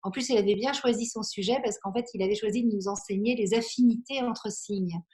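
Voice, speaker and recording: A woman in her forties from Belgium, an interview guest speaking reflectively and earnestly, often halting as she searches for words. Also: mid-range voice, mellow. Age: 40s.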